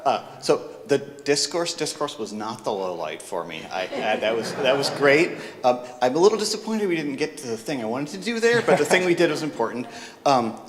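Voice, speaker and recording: A man who organized and keynoted the conference, giving a self-deprecating, quick reflection. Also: mid-range voice, very animated. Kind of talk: casual reflection in a group share. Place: conference hall. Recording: reverberant hall with faint crowd.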